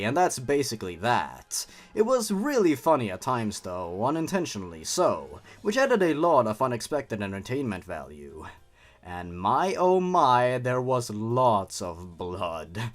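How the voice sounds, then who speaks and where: A man hosting with scripted narration and commentary, theatrical and sarcastic in manner. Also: mid-range voice. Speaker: YouTube host reviewing horror stories.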